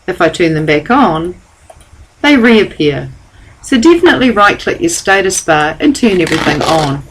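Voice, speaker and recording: A woman giving a calm, step-by-step software tutorial over a screen recording. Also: fairly low pitch, moderate pace, slight background noise, rough recording. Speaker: software trainer.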